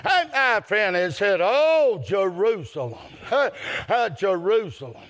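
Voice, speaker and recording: A man's voice: sits high, big pitch swings, slow pace, a bit bright. A man with a Southern US accent preaching a fervent evangelical sermon in short chanted phrases, with repeated refrains and gasped breaths.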